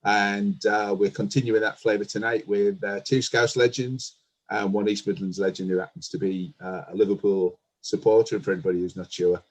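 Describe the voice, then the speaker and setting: Male host, lowish voice, friendly and halting intros. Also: steady tone, breathy edge, poor audio. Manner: friendly, hesitant, frequent fillers. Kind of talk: casual online panel interview.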